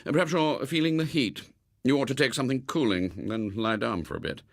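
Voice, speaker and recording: A man's voice: medium pitch, fairly quick, gravelly, no room sound, natural voice. Man playing an unruffled, sardonic British father. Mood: dry, dismissive. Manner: dry, mock-polite. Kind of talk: dramatised audiobook reading.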